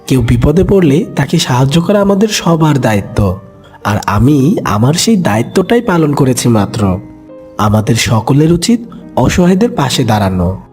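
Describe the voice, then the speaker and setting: A man's voice: medium pitch, sing-song, moderate pace, a little mellow, faint music behind, natural voice. A man voicing a kind, brave and clever young duckling in a cartoon animal fable, earnest and coaxing.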